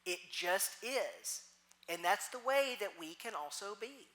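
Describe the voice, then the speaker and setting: A middle-aged woman with a Texas accent preaches a reflective sermon. Her voice is bright and clear, and she slows into soft, quiet pauses between thoughts. Her pitch sits mid-range for a woman. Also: expressive. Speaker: minister.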